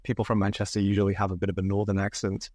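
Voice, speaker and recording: A man in his thirties with an English accent, slightly northern, telling stories from his life as a podcast guest, relaxed with lots of fillers. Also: on the low side, rapid-fire, no room sound, clean studio sound. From England. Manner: relaxed, many fillers, laughs often. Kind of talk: candid conversational storytelling.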